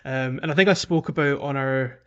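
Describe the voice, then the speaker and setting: A man's voice: mid-range voice, fairly quick, smooth, lo-fi sound. A man co-hosting a casual video game podcast, talking in a chatty, rambling way and often correcting himself. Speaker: podcast co-host.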